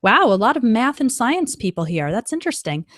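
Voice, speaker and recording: A woman teaching an English lesson on a live stream, explaining clearly and patiently and checking in with "okay.". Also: fairly high pitch, sing-song, fairly quick, a bit bright, dry recording, clean studio sound. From United States.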